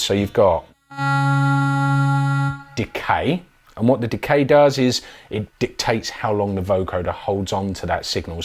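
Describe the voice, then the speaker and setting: Male product demonstrator, lowish voice, casual and conversational delivery. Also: darkish tone.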